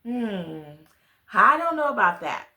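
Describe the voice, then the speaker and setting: Female children's storyteller, higher-pitched and very animated, chatty asides to young listeners, roomy echo. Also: takes it slowly. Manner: addresses children directly with questions and exclamations. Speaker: children's read-along storyteller, host. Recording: roomy, echoey sound.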